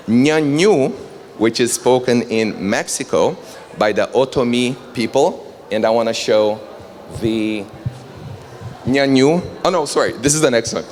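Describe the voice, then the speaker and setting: A man's voice: mid-range voice, expressive, a little slow, slight background noise. Earnest man addressing a panel. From Brazil. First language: Brazilian Portuguese. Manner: earnest, enthusiastic, addresses the audience directly. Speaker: panel moderator. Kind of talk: public panel talk. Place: panel on stage before an audience.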